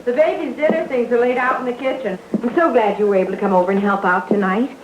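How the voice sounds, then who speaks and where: A woman's voice: fairly high pitch, fast talker, lightly acted. An actress playing a motherly, concerned and insistent housewife in old radio suspense style. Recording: muffled, noisy old radio broadcast.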